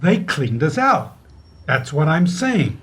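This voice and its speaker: An actor playing an evasive, flustered bank manager in a radio play, hesitant and awkward. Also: male voice, on the high side, big pitch swings, slow, heavy background noise. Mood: evasive, busy.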